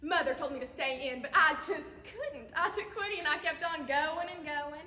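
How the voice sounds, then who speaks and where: Actress as faded Southern belle mother: giddy, nostalgic, high and bright, stage monologue in echoing hall. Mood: giddy rapture. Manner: effusive, dramatic, drawn-out words. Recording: echoey hall, long reverb.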